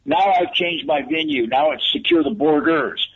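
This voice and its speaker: A man's voice: fairly high pitch, very animated, moderate pace, gravelly, slightly rough, lo-fi sound. An older retired man, a guest on a political talk radio show, speaking emphatically and repeating phrases for stress.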